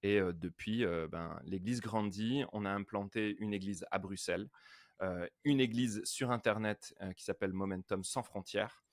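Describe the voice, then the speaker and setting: Low-voiced middle-aged Frenchman, casual guest. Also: normal speed, no room sound, studio quality. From northern France. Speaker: podcast guest, worship pastor. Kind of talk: casual interview chat.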